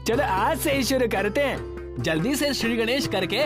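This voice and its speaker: Narrator voicing a humble, animated dosa street vendor man. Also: mid-range voice, normal speed, pitched above the performer's own voice, natural voice. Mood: hopeful. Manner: ends phrases with a repeated respectful tag. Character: humble, worried, earnest male South Indian dosa street vendor. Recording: music behind the voice.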